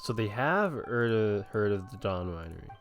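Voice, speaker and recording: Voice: mid-range voice, big pitch swings, normal speed, faint music behind. A game streamer giving casual reacting commentary over gameplay.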